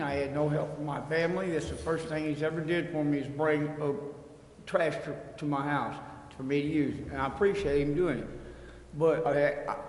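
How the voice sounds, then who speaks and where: Echoey man making a public plea. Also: a little high. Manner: plain-spoken, pleading. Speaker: resident appealing at a city council hearing. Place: council chamber. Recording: echoey hall, long reverb, faint noise.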